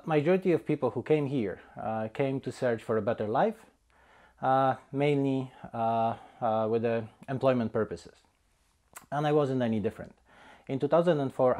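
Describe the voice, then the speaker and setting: A man in his thirties from Poland with a Polish accent, telling his own story slowly, with many "uh" fillers between phrases. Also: mid-range voice, a little mellow. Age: 30s. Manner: hesitant, frequent "uh" fillers. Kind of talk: personal testimony.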